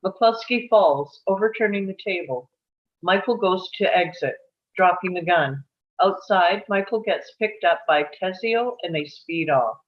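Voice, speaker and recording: A woman's voice: fairly low pitch, lively intonation, measured pace, dull audio. A woman reading screenplay stage directions aloud as scripted narration at a table read.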